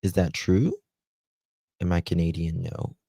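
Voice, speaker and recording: A man with a Southern US accent hosting a live stream, chatting slowly with viewers and reading their comments aloud. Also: low-pitched, fairly even intonation, creaky, warm tone, dry recording. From United States. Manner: slow, chatty, drawling, talks to viewers by name. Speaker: livestream host.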